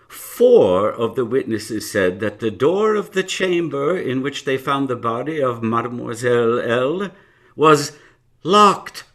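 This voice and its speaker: An actor in a live radio drama playing a measured, theatrical investigator laying out a case. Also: male voice, medium pitch, a bit bright. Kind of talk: live radio drama acting, narrated testimony.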